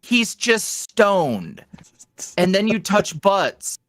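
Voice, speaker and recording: A man talks casually as a guest on a podcast. His pitch swings around in a lively, animated way, and his slangy delivery is jokey and self-deprecating. The sound is close and dry, with no room echo. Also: very slow. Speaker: podcast guest, audio roleplay creator. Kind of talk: casual chat interview.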